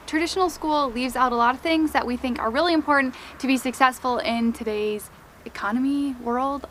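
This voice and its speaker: A woman's voice: high voice, a bit bright, slight background noise. Chatty young woman with Wisconsin accent. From United States, Wisconsin. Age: young adult. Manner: chatty, many "like" fillers. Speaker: vlogger. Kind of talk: casual Q and A chat to camera.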